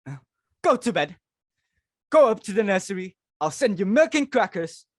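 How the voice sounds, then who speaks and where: A woman's voice: medium pitch, big pitch swings, moderate pace, no room sound. Frantic, domineering society matron played by a woman, grand and exclamatory stage-comedy delivery, dry recording. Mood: scolding. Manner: theatrical, self-important, exclamatory. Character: imperious, frantic society hostess and domineering mother, married woman. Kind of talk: dramatic reading of a stage comedy.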